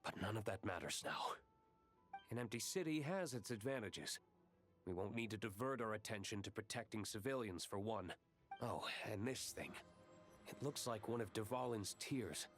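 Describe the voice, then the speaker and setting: An actor playing a composed, wary noble warrior in a video game, speaking in a measured, serious and pragmatic way. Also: male voice, fairly low pitch, animated, soft background music, lightly acted. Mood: grave, composed. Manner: measured, grave. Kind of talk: video game character acting.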